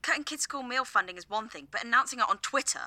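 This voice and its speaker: A woman's voice: on the high side, animated, fast, pushed and bright, no room sound. A woman playing a disapproving, snippy political gossip in a satirical radio play.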